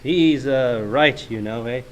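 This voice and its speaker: A man's voice: mid-range voice, slow, natural voice. A man playing a good-natured, hapless sidekick who ends lines with "eh" in a comic radio play. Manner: hapless, says "eh" at sentence ends. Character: dim, earnest, good-natured Canadian fugitive sidekick.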